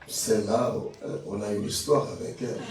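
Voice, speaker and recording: A man's voice: fairly low pitch, fairly even intonation, brisk. Angolan guy preaching, not his first language, echoey church, mic far off, crowd answering amen. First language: not French; English and Portuguese speaker. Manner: loose, chatty, asks congregation for words. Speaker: guest pastor preaching. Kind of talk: sermon with call and response. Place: church hall with congregation. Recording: echoey hall, heard from afar. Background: congregation responding.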